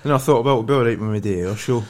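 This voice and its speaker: A man's voice: mid-range voice, very fast, heavy background noise. A young man with a Glaswegian accent, a comedian telling blunt, sweary, wry stories in a casual podcast chat. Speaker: comedian guest.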